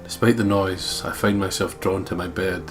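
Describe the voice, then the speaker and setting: Male let's play co-host, lowish voice, expressive script reading and casual asides, game music clearly behind. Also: moderate pace. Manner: expressive, emotive line reading. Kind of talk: dramatic read-aloud of game script with casual chat. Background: video game music.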